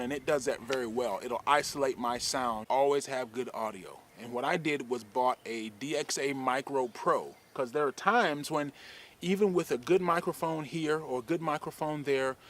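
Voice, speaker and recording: A man talks into a camera-mounted shotgun mic outdoors under a shade tree. His voice sits at an ordinary middle pitch, and his delivery is loose and rambling, like a practical how-to vlog. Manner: relaxed, rambling, demonstrative. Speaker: fishing video creator. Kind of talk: casual gear advice vlog.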